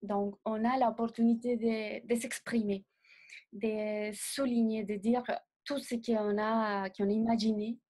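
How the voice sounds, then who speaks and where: Young woman, non-native speaker, designer interviewee, steady flat delivery, careful pauses. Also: on the high side. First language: not French. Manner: measured, pausing often to find words. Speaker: designer guest. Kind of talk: interview answers.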